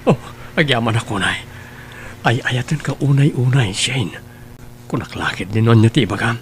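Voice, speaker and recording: A man's voice: medium pitch, animated, normal speed, a little hiss or hum, lightly acted. A man acting an earnest young suitor in love in an Ilocano audio drama. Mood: tender.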